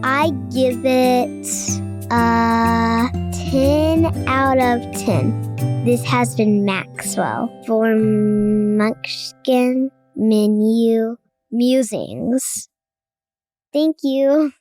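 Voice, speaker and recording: Slow-talking young child over children's music. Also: medium pitch, darkish tone. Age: child, eight. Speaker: child menu reviewer. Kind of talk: simple halting review segment over music.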